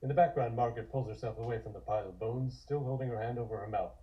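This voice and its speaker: A man's voice: medium pitch, racing, muffled recording. A man reading scripted scene narration for a comic table read.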